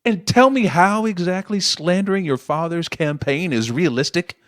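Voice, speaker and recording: A man's voice: sits high, normal speed, fairly bright tone, no room sound, clean studio sound. An actor playing a middle-aged politician father in an audio play, persuasive and defensive, talking down to his grown daughter. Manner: condescending, self-justifying.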